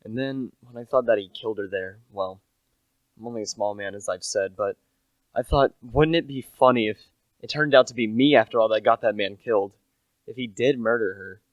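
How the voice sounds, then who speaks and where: Low male voice, humble small-time salesman acted by a young man, confiding, deferential, radio play, dry close sound. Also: a little fast, no echo, dull audio, close to an everyday voice. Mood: musing. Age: young adult. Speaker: narrator and lead character. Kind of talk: first-person radio play acting.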